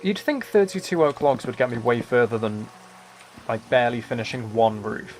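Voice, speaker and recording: A soft-spoken man livestreaming, chatting in a relaxed way and musing aloud as he plays a game. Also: mid-range voice, moderate pace, a little hiss or hum. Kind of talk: relaxed chat while playing a building game.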